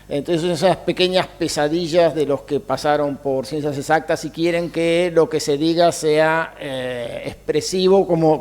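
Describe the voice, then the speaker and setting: Soft-chuckling Argentine man, higher voice. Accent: Argentine Spanish. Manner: hesitant, many fillers, soft chuckles. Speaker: interview guest, writer and mathematics teacher. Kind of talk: reflective interview answers.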